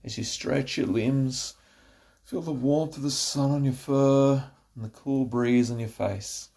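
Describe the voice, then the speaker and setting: A man narrating a scripted guided relaxation, giving slow, instructive phrases with pauses. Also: mid-range voice, gravelly, warm tone.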